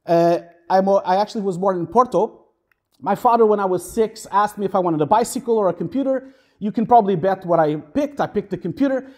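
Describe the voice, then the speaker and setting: A man in his forties with a Portuguese accent and a fairly high voice. He gives a workshop talk at a conference with a handheld mic. His delivery is lively, casual and self-mocking. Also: a little fast. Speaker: workshop speaker, VFX supervisor. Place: conference room.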